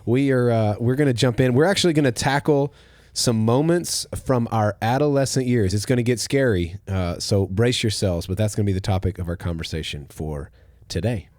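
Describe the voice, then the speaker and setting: A man, a pastor, hosting a casual conversational podcast, relaxed and thoughtful, with his phrases often trailing off softly. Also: fairly low pitch, brisk, no room sound, clean studio sound.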